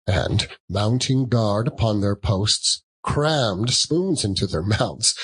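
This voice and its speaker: A man's voice: lowish voice, a bit bright, breathy edge, low-quality recording. A man narrating a classic story as a dramatic audiobook reading.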